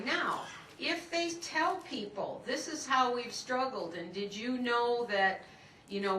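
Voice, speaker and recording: Chatty woman leading a meeting, bright tone. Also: mid-range voice. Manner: chatty, calls on people by name. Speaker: meeting leader. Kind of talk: casual meeting talk. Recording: roomy hall, mic at a distance, faint noise.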